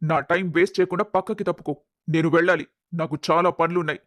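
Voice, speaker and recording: Man acting an impatient, high-pitched corporate client. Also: steady tone, fast, fairly bright tone, no echo, clean studio sound, close to an everyday voice. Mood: curt, impatient. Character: irate, impatient male corporate client, curt and important. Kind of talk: dramatised audio story acting.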